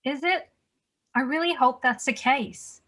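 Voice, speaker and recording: A woman's voice: sits high, unhurried, pushed and bright, exaggerated voice. A woman reading the part of a guarded, dryly practical nurse in a drama script table read. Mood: anxious, gentle.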